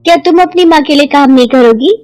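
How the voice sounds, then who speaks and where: A woman's voice: high voice, fast talker, a little mellow, voice pushed up, close to an everyday voice. A woman voicing a devoted young daughter-in-law who is emotional and earnest, with an emphatic delivery, in a story. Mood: indignant.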